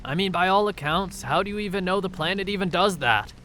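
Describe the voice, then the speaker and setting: Casting: male actor as easygoing trooper for audio drama, fairly high voice, casual delivery, light background noise. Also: fairly quick, sharp tone. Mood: offhand, teasing. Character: laid-back male soldier, speculative, mildly teasing.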